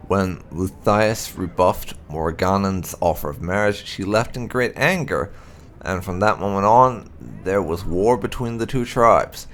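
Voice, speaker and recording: A man with a gravelly voice reading fantasy game lore aloud in a measured, solemn storytelling manner. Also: low voice, fairly bright tone. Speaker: gaming channel host.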